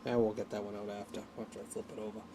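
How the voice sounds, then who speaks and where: A man giving a casual, unscripted hands-on tutorial, talking haltingly as he thinks aloud while working. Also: on the low side, flat delivery, noisy background. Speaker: hobbyist presenter of a radio repair video.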